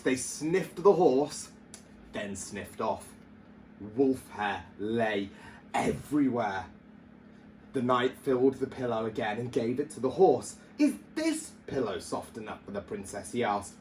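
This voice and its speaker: A man reads a children's story in a theatrical, emphatic way. His medium-pitched voice swings widely for drama and moves at an unhurried pace. There is faint noise behind him. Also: lively intonation, mellow. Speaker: primary school teacher reading storytime. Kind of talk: expressive children's story reading.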